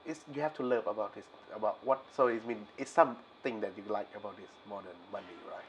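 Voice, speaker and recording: A man's voice: fairly low pitch, fast. A man with a Thai accent hosting an interview in English, his second language, asking questions in simple words. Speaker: interviewer, host. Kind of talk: casual interview. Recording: slightly dull sound with faint noise.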